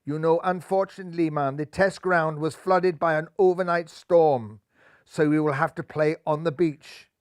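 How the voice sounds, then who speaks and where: A relaxed rabbit cricket star with a put-on Caribbean lilt, loud and drawling. A man puts him on in a fairly high voice. The sound is close and dry. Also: moderate pace, smooth, no echo, close to an everyday voice. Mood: cheery. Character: laid-back male rabbit cricket star with island lilt.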